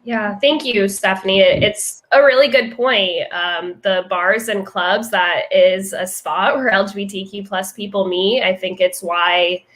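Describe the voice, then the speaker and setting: A woman from Orlando moderates a panel in an encouraging manner. Her voice sits at a middle pitch for a woman. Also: steady tone, unhurried, few pauses, fairly bright tone.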